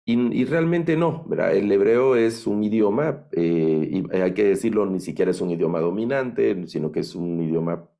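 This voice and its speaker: A middle-aged man with a Guatemalan accent teaches an online class in a very low, gravelly voice. His tone is dark and mellow, and he thinks aloud, halting on fillers and restarting phrases as he explains. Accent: Guatemalan Spanish. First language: Spanish. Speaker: Bible language instructor. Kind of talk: online lecture.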